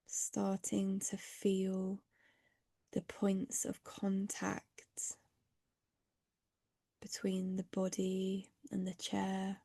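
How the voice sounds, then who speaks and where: A woman guides a meditation very slowly and gently, leaving long pauses. Her voice is mellow and breathy with a noticeably creaky edge, sometimes sinking close to a whisper. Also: medium pitch, monotone, no room sound.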